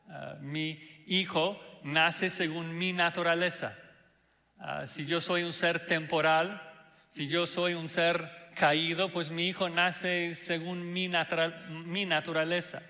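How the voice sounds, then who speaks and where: Slow, bright-voiced pastor preaching in a hall. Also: male voice, on the high side. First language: not Spanish. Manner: slow, deliberate preaching with frequent "ah" fillers. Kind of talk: sermon. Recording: long hall reverb.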